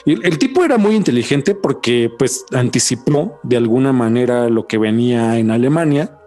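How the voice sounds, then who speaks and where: A man's voice: mid-range voice, moderate pace, faint music behind. Slangy Mexican man hosting a podcast. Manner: slangy, animated, laughs often, repeats words for emphasis. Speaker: podcast host. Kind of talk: casual chat.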